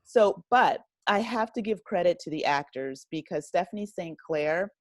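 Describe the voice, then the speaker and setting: An American woman speaking casually as an interview guest, halting and often rephrasing, with plenty of "you know". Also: lowish voice, expressive, no room sound. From United States, a rural town. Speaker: interview guest, writer and director of an audio drama.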